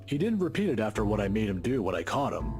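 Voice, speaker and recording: Wry male professor in a game, measured wording but lively melody, music behind him. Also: fairly low pitch. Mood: wry, advising. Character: wry, composed male professor giving advice. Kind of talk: visual novel game acting.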